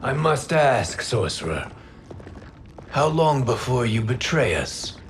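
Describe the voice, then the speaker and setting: Slow, grave, wary hero. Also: male voice, medium pitch, very animated. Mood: suspicious. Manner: grave, deliberate. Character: wary, upright, resolute male hero. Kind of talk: video game cutscene acting. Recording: clear ambient noise behind the voice.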